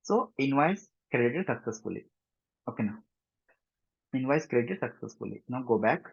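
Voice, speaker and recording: A man with an Indian accent giving an informal software tutorial, repeating himself, mixing in English terms and often checking that listeners follow. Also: mid-range voice, animated, brisk, gravelly, husky, highs cut off. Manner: repetitive, frequent check-in questions, mixes English terms into speech. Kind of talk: screen-share tutorial, informal explanation.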